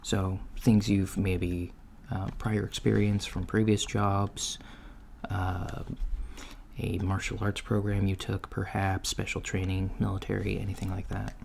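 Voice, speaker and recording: Slow, creaky-voiced man in soft ASMR roleplay. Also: sits low. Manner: soft-spoken, halting, hesitant delivery. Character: polite, businesslike alien-store manager interviewing a job applicant. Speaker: roleplay performer as job interviewer. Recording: lo-fi with steady background noise. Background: computer keyboard typing.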